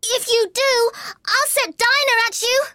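Young girl, very high voice, prim, curious, spirited, storybook reading, dry sound. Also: lively intonation, a little slow, a bit bright, dry recording. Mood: indignant, bold. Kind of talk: storybook character voice.

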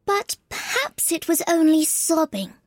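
A man's voice: very high voice, wildly swooping pitch, unhurried, no room sound, studio quality, voice pushed up, full character voice. A man voicing a prim, earnest little girl in a storybook reading, with polite, carefully proper speech and a scolding streak. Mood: musing.

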